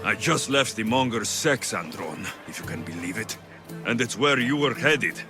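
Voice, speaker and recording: A blunt gruff mercenary dude in a game cutscene, pitch swings around, big music underneath. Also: male voice, lively intonation, normal speed. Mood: reassuring. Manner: blunt, low and clipped. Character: gruff Spartan mercenary man, blunt and protective. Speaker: game protagonist.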